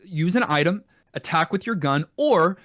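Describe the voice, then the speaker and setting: A man's voice: fairly high pitch, expressive, normal speed, fairly bright tone, no room sound, clean studio sound. A man co-hosting a podcast, talking casually as he reviews games. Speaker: podcast co-host. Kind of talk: casual game review chat.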